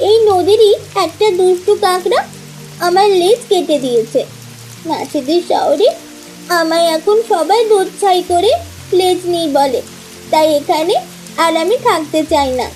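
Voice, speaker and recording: Very high-pitched little magic fish. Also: expressive, a little slow, a little mellow, soft background music, poor audio. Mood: plaintive, urging. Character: small talking magic hilsa fish, pleading, insistent. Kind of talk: Bengali fairy-tale cartoon acting.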